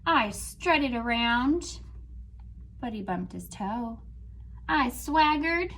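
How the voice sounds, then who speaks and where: Woman teacher, read-aloud storytime, slow and animated delivery. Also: a little high, low-quality recording. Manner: slow, expressive storybook reading to children.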